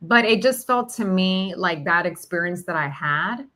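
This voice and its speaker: A woman talking reflectively and casually about personal experience, feeling her way through her thoughts in a halting, searching delivery. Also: on the low side, lively intonation, sharp tone. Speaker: podcast host sharing personal reflections. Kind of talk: reflective, casual spiritual conversation.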